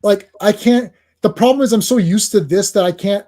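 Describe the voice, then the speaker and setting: High-voiced chatty man hosting a stream. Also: normal speed, warm tone. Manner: chatty, greets chat viewers by name. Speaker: host of a comics livestream. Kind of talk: casual livestream comic review.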